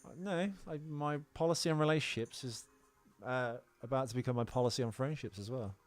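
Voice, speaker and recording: A man's voice: mid-range voice, a little fast, soft background music. A British man co-hosting a podcast in casual personal chat, talking bluntly and swearing freely. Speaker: podcast co-host.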